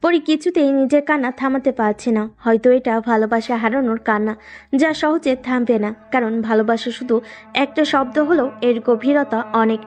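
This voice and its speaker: A woman's voice: on the high side, moderate pace, darkish tone. A woman reading scripted narration for a romantic story as its storyteller.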